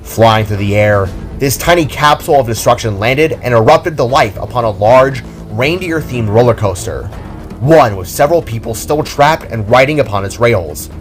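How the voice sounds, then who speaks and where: A man's voice: medium pitch, expressive, moderate pace. A man narrating a scripted audio drama as storyteller. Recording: background music under the voice.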